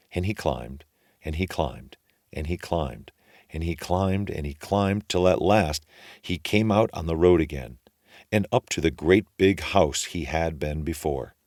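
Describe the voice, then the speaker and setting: Deep, gravelly man telling a fairy tale. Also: a little mellow, dry recording, studio quality. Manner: folksy, colloquial storytelling. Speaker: storyteller. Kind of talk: animated children's fairy tale narration.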